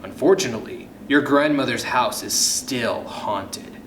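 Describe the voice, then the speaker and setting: A man hosts a children's storytime with a slow, dramatic read. He leans on suspenseful pauses and hushed drops. The room echoes around his voice, with faint noise behind it. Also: medium pitch. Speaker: storytime host. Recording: roomy echo, faint background noise.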